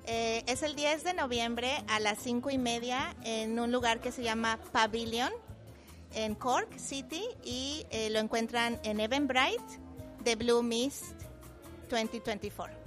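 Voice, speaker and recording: Woman, Mexico City accent, friendly dancer explaining, slightly high voice, clear music behind. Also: slow. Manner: friendly, explanatory, many fillers like "este". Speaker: dance group member. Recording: interview with loud music behind. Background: music playing.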